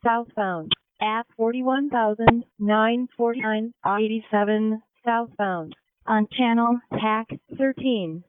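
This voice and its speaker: A woman emergency dispatcher reading out a measured announcement over a two-way radio. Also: on the high side, fairly even intonation. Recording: very noisy, tinny radio channel.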